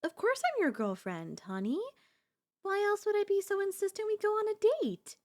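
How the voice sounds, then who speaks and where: A woman's voice: very high-pitched, very animated, normal speed. A woman playing a doting, possessive girlfriend in an ASMR audio roleplay, speaking in flowery, coaxing endearments with a teasing elegance. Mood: insistent. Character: doting, possessive yandere girlfriend, sweetly insistent and theatrical.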